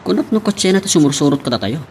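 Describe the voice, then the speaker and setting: A man's voice: a little high, sing-song, quick pace, noisy background. A man playing a deferential subordinate who reports to his boss in an audio drama.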